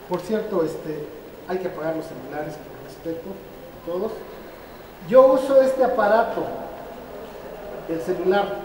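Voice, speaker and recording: A man in his fifties from Mexico lectures a roomful of teachers, lively and questioning, pressing every point. His voice is mellow and dark. It rings out through a large hall with a long echo, caught by a camera some way off. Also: sits high, a little hiss or hum. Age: 50s. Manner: lively, asks the audience questions and drives points home. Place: large roofed hall with metal roof beams. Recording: echoey hall, camera at a distance.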